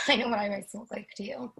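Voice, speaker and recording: Drama reading: actress as cold, elegant mid-forties woman, challenging and blunt, rough-edged voice. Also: medium pitch, fairly bright tone, exaggerated voice. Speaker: actor in a screenwriters' readers theatre. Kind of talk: staged script reading.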